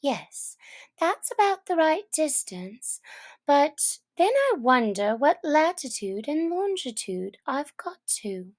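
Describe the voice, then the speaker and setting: Chatty curious young girl a lady reads out, musing to herself, high and unhurried. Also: sing-song, exaggerated voice. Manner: thoughtful, wondering musing to herself. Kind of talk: storybook character voice.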